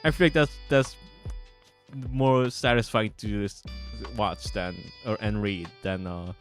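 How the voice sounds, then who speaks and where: A man giving casual livestream commentary as a game developer, halting and repeating himself excitably. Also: lowish voice. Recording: music behind the voice.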